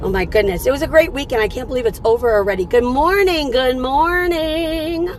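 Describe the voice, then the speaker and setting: A woman hosting a casual morning livestream, chatty and upbeat, her voice slightly raspy from a cold. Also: high voice, a little fast, slight background noise. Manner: upbeat, chatty, greets viewers by name. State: fighting off a cold. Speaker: livestream host.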